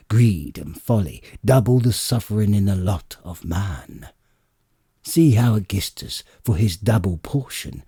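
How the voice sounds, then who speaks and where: Exasperated ruler of the gods, read by a male narrator: husky, ponderous, lordly, slow-spoken, epic storybook style. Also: on the low side, dark tone, no echo, studio quality, full character voice. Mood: indignant, weary.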